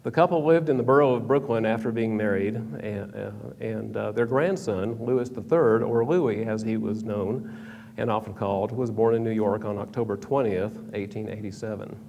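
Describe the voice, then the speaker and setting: A man's voice: lowish voice, normal speed, gravelly, a little mellow, dull audio. A man presenting a prepared history talk in a hall, reading from a script with small stumbles and restarts. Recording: reverberant hall, faint background hum.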